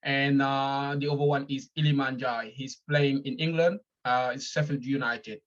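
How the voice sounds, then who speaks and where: A man from Senegal, a fan interviewed over a call, speaking calmly with frequent hesitations. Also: medium pitch, normal speed, highs cut off. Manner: hesitant, many ums, calm. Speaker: guest fan. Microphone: phone or video call.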